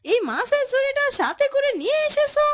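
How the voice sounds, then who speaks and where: A woman's voice: very high-pitched, very animated, a little fast, voice pushed up. A woman voicing a worried, plaintive poor village wife and mother in a cartoon story. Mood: questioning, upset. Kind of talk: Bengali moral story cartoon dubbing.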